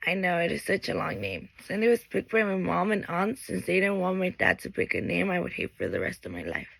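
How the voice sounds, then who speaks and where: Creaky bright young woman. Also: lowish voice, very animated, brisk, dry recording, rough recording. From Mexico. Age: late teens. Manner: earnest, reads from a script, with small restarts. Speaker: graduating high school student. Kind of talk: reading a prepared graduation speech.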